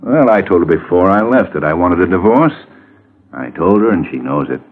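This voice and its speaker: An actor playing a middle-aged lawyer in a vintage radio drama, glib and brisk, with a folksy, evasive way of talking. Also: male voice, low voice, animated. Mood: amorous. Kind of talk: 1950s radio drama acting. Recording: muffled, hissy vintage radio recording.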